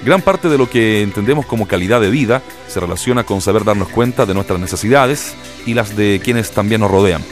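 A man's voice: lowish voice, brisk. A man hosting a program with scripted radio narration. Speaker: program host. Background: faint guitar music.

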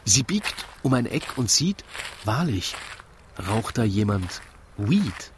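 A man narrating a comic radio play in rhyme, with wry, deliberate storytelling. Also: mid-range voice, sing-song, a little hiss or hum.

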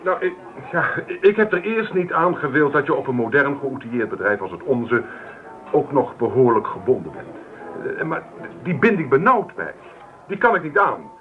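An actor playing a restless, stubborn young student husband from a farming family in a radio play, sounding insistent and self-justifying. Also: male voice, mid-range voice, very animated, moderate pace, faint music behind, natural voice. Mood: defiant, earnest. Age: young adult. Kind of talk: Dutch radio play acting. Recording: noisy, thin, phone-like old radio recording.

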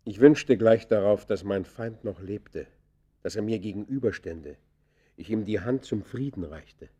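An actor playing a brooding, defiant murderer who confesses in deliberate, literary German radio play style, moving between hushed and vehement delivery. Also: male voice, fairly low pitch, slightly rough. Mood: agitated remorse.

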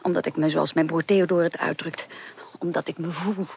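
A woman playing a proud, remorseful young upper-class woman in a Dutch radio drama, speaking emphatically and haltingly. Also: sits low, steady tone, darkish tone. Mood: agitated pride. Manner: emphatic, halting with repeated words. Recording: clearly noisy 1980s radio recording.

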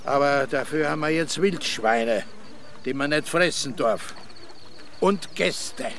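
An actor in a radio drama playing a grumbly, laconic former farmer who talks in terse, clipped phrases. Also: male voice, a little high, lively intonation, takes it slowly, fairly bright tone, slight background noise, heavily acted. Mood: dry, resigned.

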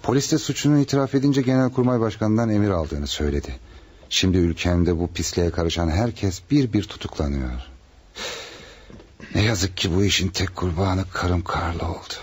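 A dignified, grieving president in a radio play. His voice is low and husky. He speaks formally and solemnly. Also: normal speed. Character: dignified, grateful, grieving foreign head of state. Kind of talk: radio theatre acting.

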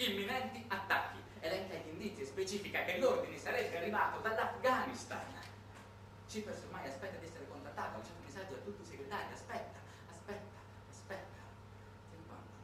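A man performing a theatrical narrative monologue in a conversational way, emphatic, with dramatic pauses and repetitions. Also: high voice, distant mic. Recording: echoey, noisy room recording from afar.

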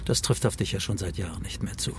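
A man's voice: lowish voice, fast talker, natural voice. An actor playing a cold older state prosecutor in a radio crime drama, curt and condescending toward his estranged son. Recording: clear background noise, car ambience.

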